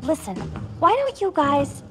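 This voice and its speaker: An anxious, helpful lodge receptionist in an animated game. Her voice is high and earnest, with music clearly playing behind her. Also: unhurried. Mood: earnest, concerned. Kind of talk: animated video game acting.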